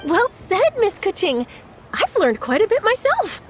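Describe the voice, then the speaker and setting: A woman's voice: very high-pitched, huge pitch swings, a little slow, exaggerated voice. An actress playing an eager, curious young woman reporter in a video game, chirpy and enthusiastic as she talks. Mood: curious, delighted. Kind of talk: scripted video game dialogue acting. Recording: music behind the voice.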